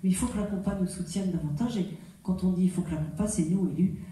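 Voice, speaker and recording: Heard from a distance in a heavily reverberant room, a woman addresses a public meeting as a local politician. Her voice is very dark and muted. She explains point by point in a semi-improvised way, adding tag words and correcting herself as she goes. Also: lowish voice, fast. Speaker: local politician and mayoral candidate. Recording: very echoey room, distant muffled mic.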